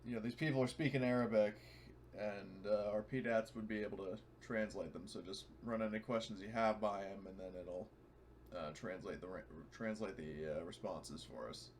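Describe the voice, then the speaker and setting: A man playing a tabletop game, chatting casually and explaining things in a halting way. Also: fairly low pitch, fairly even intonation. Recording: clear background noise on mic.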